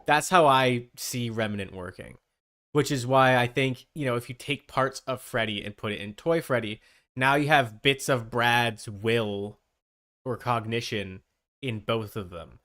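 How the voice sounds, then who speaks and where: Man, clean smooth voice, middling pitch, casual streamer chat, rambling, thinking aloud. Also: measured pace, a bit bright. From Canada.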